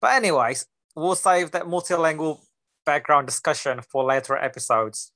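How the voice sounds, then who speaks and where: Young male co-host, Singaporean-Kiwi accent, relaxed bro banter, bright tone, dry sound. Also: fairly high pitch, moderate pace, no echo. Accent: mixed Singaporean and New Zealand. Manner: laid-back, joking, bro slang. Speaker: podcast co-host. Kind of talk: casual podcast chat.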